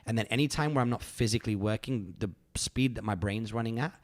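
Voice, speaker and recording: Man in his thirties, low-pitched, earnest, casual chat, rambling, grateful tone. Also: quick pace. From United Kingdom. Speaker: podcast host.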